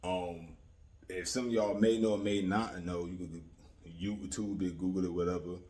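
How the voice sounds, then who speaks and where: A man's voice: low-pitched, fairly even intonation, moderate pace, a little mellow, slight background noise. An American man giving casual, opinionated commentary in a slangy, streetwise way, repeating himself for emphasis and often checking that the listener follows. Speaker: YouTube commentator.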